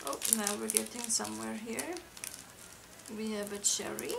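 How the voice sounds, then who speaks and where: A young woman voicing a soft-spoken cartoon monster doll girl with a put-on foreign accent, murmuring and whispering in an ASMR roleplay over a very noisy home recording. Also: a little high, steady tone, moderate pace, creaky. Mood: soft, focused. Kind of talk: ASMR roleplay, unhurried sorting narration. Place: indoor living room floor, home. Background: loud hiss, crinkling wrappers, clicks.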